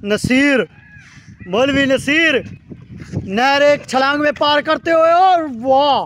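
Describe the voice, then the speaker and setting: A man's voice: very high-pitched, slow pace, a bit bright, a little hiss or hum. A man playfully commentating his friends' jumps outdoors by a canal, teasing and laughing. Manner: teasing, laughs often, exclaims wah. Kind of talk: playful mock sports commentary.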